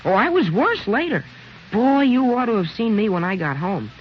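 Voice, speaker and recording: Lovesick teenage boy, American accent, swooping pitch, earnest, theatrical, boastful, old radio drama, muffled lo-fi sound. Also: sing-song, moderate pace, slight background noise. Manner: earnest, theatrical, hesitant and exclamatory. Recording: dull lo-fi old radio broadcast.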